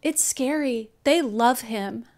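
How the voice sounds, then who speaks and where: A woman with a fairly high voice reads screenplay directions over a video call. She moves between scripted narration and casual side remarks. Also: slow pace, gravelly, darkish tone. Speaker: table-read narrator. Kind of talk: reading script stage directions with casual asides. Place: home room on a group video call.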